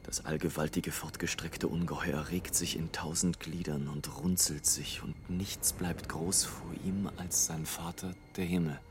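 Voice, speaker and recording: An actor playing an exalted airship pilot in a literary radio play, declaiming poetically and theatrically. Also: male voice, sits low, fairly even intonation, unhurried, hardly any pauses. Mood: hushed awe. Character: exalted male airship pilot, wildly agitated, then awestruck and solemn. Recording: 1980s radio drama production with music.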